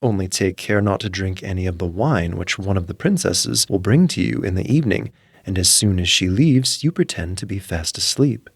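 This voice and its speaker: A man's voice: sits low, normal speed, vocal fry, hardly any pauses, breathy edge, dry recording, clean studio sound, heavily acted. Man voicing a kindly old woman giving counsel. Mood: helpful, advising.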